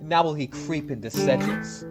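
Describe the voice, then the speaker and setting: A woman acts a cocky bachelor gentleman in a Shakespearean radio play. The voice is lowish and very slow-paced, bantering with expressive swings over loud guitar music. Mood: bantering, piqued. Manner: hesitant, theatrical, bantering. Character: cocky, wounded-pride gentleman wit and bachelor soldier.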